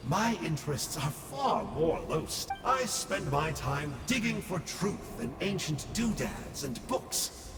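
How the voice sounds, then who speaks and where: High-voiced, sly, theatrical villain. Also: male voice, very animated, slow, full character voice. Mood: glib. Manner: theatrical, glib, mock-dramatic, teasing. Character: sly, flippant villain scholar of a dark order. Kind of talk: video game character acting. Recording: long reverb, music behind.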